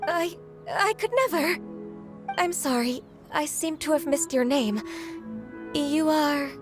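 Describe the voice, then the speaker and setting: A gentle, polite young woman official in a fantasy game. She talks softly and a little hesitantly, in a fairly high voice. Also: slow pace, over music. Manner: soft-spoken, hesitant and polite.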